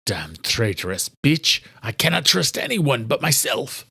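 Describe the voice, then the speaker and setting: A man's voice: medium pitch, sing-song, measured pace, vocal fry, bright, forceful tone, breathy edge, dry recording, clean studio sound, pitched above the performer's own voice, full character voice. Man voicing a bitter, hunted gunman. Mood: furious. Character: hunted, bitter, distrustful male fugitive gunman.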